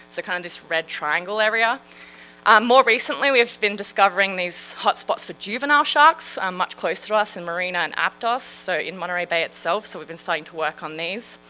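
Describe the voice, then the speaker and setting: Bright-voiced Australian woman giving a talk. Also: fairly low pitch, poor audio. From Western Australia. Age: 30s. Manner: friendly, chatty, with frequent fillers. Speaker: marine scientist. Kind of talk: public science talk.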